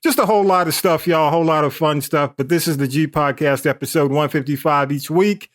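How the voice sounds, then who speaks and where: A man's voice: a little high, fairly even intonation, a little fast. A man hosting a podcast, chatting casually, laughing often and calling his listeners y'all. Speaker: podcast host. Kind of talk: casual chat.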